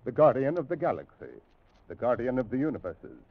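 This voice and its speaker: An actor playing a stern alien spaceship captain in an old radio drama, speaking in formal, measured, imperious declarations. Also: male voice, mid-range voice, sing-song. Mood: formal, commanding. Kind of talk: 1950s radio science fiction drama acting. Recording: muffled, tinny old radio recording.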